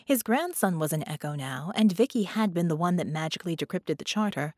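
A woman's voice: on the low side, expressive, brisk, darkish tone, no room sound, clean studio sound. A woman reading scripted fiction as an audiobook narrator.

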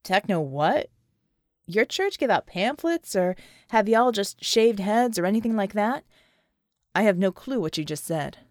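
A woman's voice: mid-range voice, sing-song, unhurried, no room sound, clean studio sound. A woman voicing a wary, skeptical ex-soldier man, blunt but polite, in an audiobook. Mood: baffled, sarcastic. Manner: clipped, folksy, incredulous, says ma'am.

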